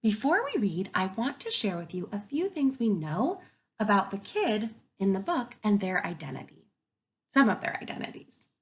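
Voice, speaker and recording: A woman kindergarten teacher reading a picture book aloud to young children in an expressive way, pausing to wonder aloud. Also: medium pitch.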